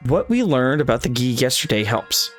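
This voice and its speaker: A man's voice: mid-range voice, very animated, normal speed, faint music behind. A man reading a visual novel's dialogue and narration aloud for a let's play video, sometimes tripping over lines and correcting himself.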